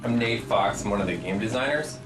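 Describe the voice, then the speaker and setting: Low-voiced man joking over game footage. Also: expressive, fairly quick. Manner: joking, playful and dry. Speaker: game designer. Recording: roomy, distant mic with game music. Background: game music.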